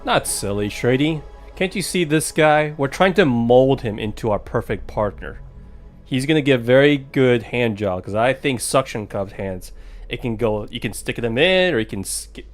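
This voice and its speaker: A man streaming a horror game at home into a desk mic, reacting aloud with dry, joking asides. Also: mid-range voice. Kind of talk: casual let's play commentary.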